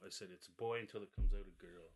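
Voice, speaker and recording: A man in his late twenties chatting casually, telling stories in a relaxed way and swearing now and then. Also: low-pitched, fast, vocal fry, slightly rough. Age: 20s. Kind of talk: casual chat.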